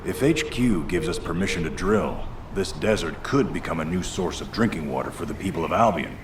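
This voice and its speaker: Low-voiced, earnest field officer. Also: male voice, lively intonation, brisk. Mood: concerned. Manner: explanatory, polite. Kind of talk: video game voice acting. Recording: roomy echo, noise behind.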